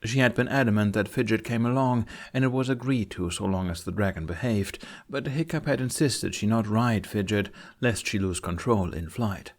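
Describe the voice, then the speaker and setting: A man's voice: lowish voice, moderate pace, few pauses. A man reading a fantasy audiobook as scripted narration.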